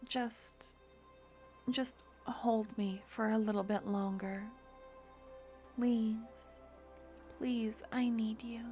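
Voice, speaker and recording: A woman voicing a tender young necromancer girlfriend, talking in a soft whisper in a fantasy ASMR roleplay. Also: on the high side, fairly even intonation, very slow, pauses often, faint music behind.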